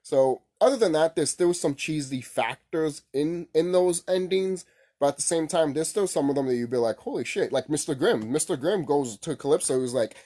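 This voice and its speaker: A man's voice: fairly high pitch, animated, moderate pace, mellow. A man giving a casual, rambling opinion as a gaming vlogger, speaking informally and repeating himself. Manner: informal, repetitive, mild swearing.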